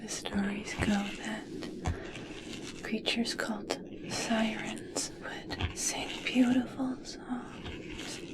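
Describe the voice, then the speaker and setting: A woman playing an adoring, obsessed scientist in an ASMR roleplay, speaking in a soft, breathy whisper with a gentle, halting, fixated manner. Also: fairly high pitch, fairly even intonation. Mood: dreamy fascination. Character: obsessed, adoring female scientist studying a captive merperson.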